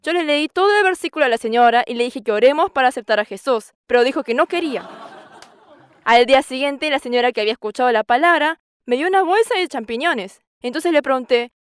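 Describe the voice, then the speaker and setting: A woman in her sixties gives an earnest church testimony on a studio stage, quoting scripture at length. Her voice is high and clear. The sound is dry, close and steady, from a lapel mic. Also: quick pace, dry recording, a faint crowd. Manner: earnest, quotes scripture at length and acts out dialogue with a raised voice. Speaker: farmer giving testimony.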